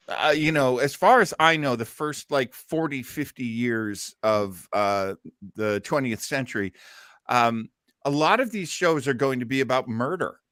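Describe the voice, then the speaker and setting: A man gives casual host commentary in a bright, lively voice. His pitch moves widely through jokey, hesitant asides, and the sound is close and dry. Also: dry recording. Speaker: listening party host.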